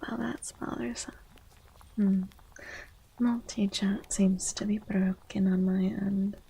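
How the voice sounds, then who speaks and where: Female ASMR stream host, soft-spoken with creaky fry and a breathy husk, slipping into whispers, gentle and close-miked. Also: medium pitch, fairly even intonation, poor audio. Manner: gentle, unhurried greeting of viewers. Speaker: livestream host, VTuber.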